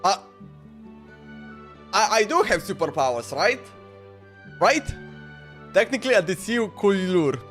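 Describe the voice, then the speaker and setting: A man with a fairly high voice talks casually as a game streamer. He reacts informally and often repeats himself. Faint music plays underneath. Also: big pitch swings, unhurried, pauses often, a bit bright. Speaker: live streamer.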